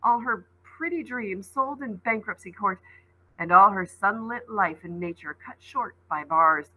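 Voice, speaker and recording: A woman's voice: medium pitch, expressive, measured pace, pushed and bright, rough recording. A woman voicing a sinister, boyish supernatural trickster in a storybook, taunting and smug in a theatrical way. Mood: mocking, boastful.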